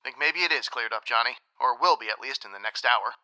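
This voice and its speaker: A hard-nosed police sergeant in a 1950s-style radio detective drama. His voice is sharp and forceful, recorded dry, and he presses his points in a matter-of-fact, sardonic way. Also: mid-range voice, expressive, a little fast, clear, resonant tone, no echo, poor audio. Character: tough no-nonsense male police sergeant.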